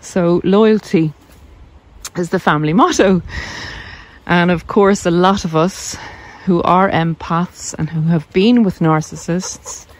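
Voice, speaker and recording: A woman with an Irish accent talking informally and reflectively to viewers while out on a walk, pausing as she explains. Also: on the low side, fairly even intonation. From Ireland. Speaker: video host, narcissism coach. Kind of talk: casual chat to camera while walking. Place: outdoors on a country walk.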